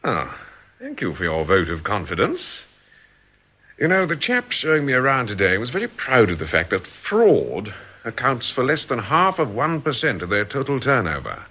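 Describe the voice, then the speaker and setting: An actor in a radio drama playing a calm, calculating gang boss with a precise, self-assured manner. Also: male voice, mid-range voice, moderate pace, breathy edge, highs cut off, full character voice. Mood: assured, persuasive.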